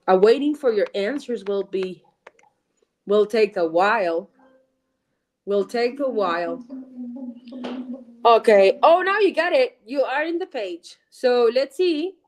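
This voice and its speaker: A woman, a Spanish speaker teaching an English class live online. She is upbeat, switches between Spanish and English, repeats phrases and praises students by name. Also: a little high.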